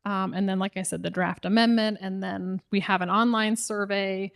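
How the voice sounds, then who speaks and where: A woman's voice: mid-range voice, fairly even intonation, normal speed, gravelly. A woman city official with an American Midwest accent, explaining zoning rules to residents at a public meeting, with frequent ums and restarts.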